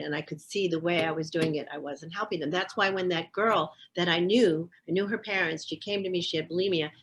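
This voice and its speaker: A woman's voice: lowish voice, a bit bright. An older woman, an astrologer being interviewed, who talks in an emphatic, self-assured and talkative way. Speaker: astrologer guest. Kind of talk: casual interview conversation.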